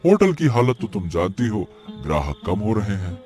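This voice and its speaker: Struggling village farmer, male actor: supportive and reflective, measured cartoon-story delivery, faint music behind. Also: on the low side, very animated, mellow. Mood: reassuring, calm. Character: struggling taro farmer Mohan, supportive, reflective childhood friend. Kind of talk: Hindi cartoon moral-story dialogue.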